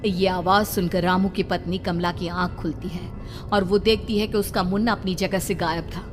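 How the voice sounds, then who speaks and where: A woman's voice: lowish voice, fairly even intonation, normal speed, music in the background. A woman narrating a scripted horror story in a dramatic, suspenseful way.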